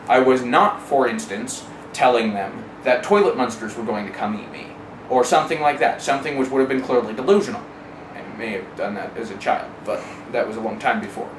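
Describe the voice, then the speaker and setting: A man giving a candid first-person account in a wry way, casually swearing and acting out remembered exchanges. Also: mid-range voice. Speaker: interviewee telling a personal story. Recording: roomy, distant mic, clear background noise.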